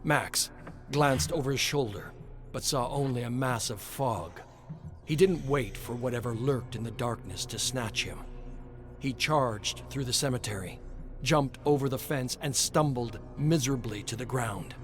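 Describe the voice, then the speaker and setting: A man's voice: medium pitch, measured pace. A man reading scripted, dramatic horror narration. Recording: music under the voice. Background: music.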